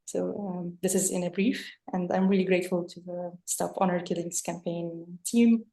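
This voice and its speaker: A young woman from Lebanon speaks fluently and in a friendly way, with a few fillers. Her voice is mellow and fairly flat in pitch. She is heard in an echoey room. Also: normal speed. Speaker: panelist, peacebuilding coordinator. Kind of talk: online panel introduction.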